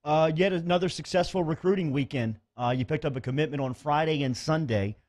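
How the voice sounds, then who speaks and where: A man's voice: fairly high pitch, fairly quick, a little mellow. A man hosting a sports radio show, interviewing in a conversational style.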